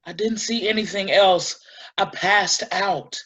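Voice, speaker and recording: An actress playing a shaken, earnest woman giving deliberate testimony in a dramatic staged reading, on a lo-fi recording. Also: medium pitch, a bit bright, breathy edge. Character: shaken, earnest woman recounting an eerie night in woods.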